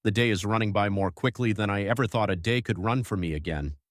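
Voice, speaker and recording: A man playing a troubled, devoted young husband reading his journal in measured literary diction for an audiobook. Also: on the low side, no room sound, studio quality, close to an everyday voice. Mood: hopeful, subdued. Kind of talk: dramatised audiobook narration.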